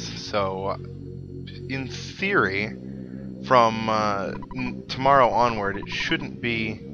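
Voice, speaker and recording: A man with a low, creaky voice commentates casually on a game, wandering from thought to thought with dry understatement. He sounds a bit unwell and out of it. The recording is slightly muffled, with clear game music playing underneath. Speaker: gaming host, let's-player. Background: video game music.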